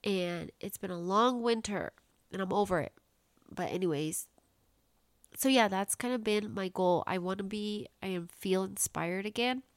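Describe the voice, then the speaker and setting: A woman in her late twenties hosts her own podcast and chats on in a bubbly, filler-heavy way. Her nose is stuffed up and congested, and her voice sits at an ordinary middle pitch. The sound is dry and close, with no room around it. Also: normal speed, no echo. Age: late 20s. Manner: chatty, bubbly, lots of "like" fillers. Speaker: podcast host. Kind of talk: casual solo podcast chat.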